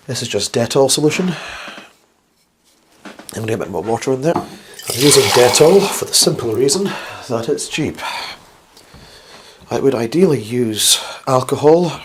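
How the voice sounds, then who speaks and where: A man with a slightly croaky, flu-worn voice hosts a casual instructional science vlog, chatty and rambling with wry, self-deprecating asides. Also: mid-range voice, background noise. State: getting over the flu. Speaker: host of a science video series.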